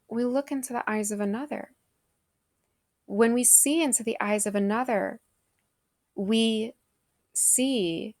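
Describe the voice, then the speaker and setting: A woman's voice: mid-range voice, a little mellow, dry recording. Young woman doing a devotional talk, earnest and conversational, kinda reflective, close dry sound. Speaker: speaker giving a faith reflection.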